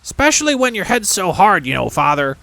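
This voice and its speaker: A man's voice: high-pitched, big pitch swings, a little fast, vocal fry, bright, forceful tone, no echo, voice pushed up, heavily acted, low-quality recording. A man voicing a young goblin son, cheeky and boastful, in a storybook reading.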